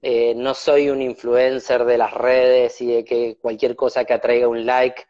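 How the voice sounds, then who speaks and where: A man's voice: mid-range voice, little pitch movement, unhurried, warm tone. Man, live-stream host, reflective and rambling, dull phone-call sound. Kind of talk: relaxed live video-call conversation. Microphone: phone on a video call. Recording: dull lo-fi video-call audio.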